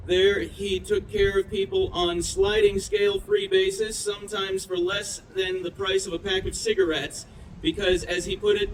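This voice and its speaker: A man's voice: high-pitched, poor audio. A man acting as master of ceremonies, reading a prepared introduction aloud at an outdoor event. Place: outdoors at a downtown festival. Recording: outdoor PA with faint traffic noise.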